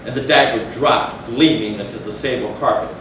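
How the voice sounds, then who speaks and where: A man narrating gothic horror as a dramatic stage reading, solemn and theatrical with deliberate phrasing. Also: mid-range voice, fairly bright tone. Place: indoor theatre, recorded from a distance. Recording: very echoey hall, far mic, noisy.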